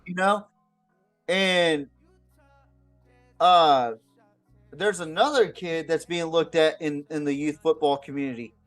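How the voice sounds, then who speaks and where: A man's voice: fairly high pitch, fairly even intonation, slow pace, long pauses. A man hosting a casual, unscripted football podcast, talking bluntly with swearing and often repeating words as he goes. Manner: blunt, profane. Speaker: sports podcast host. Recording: music behind the voice. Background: music.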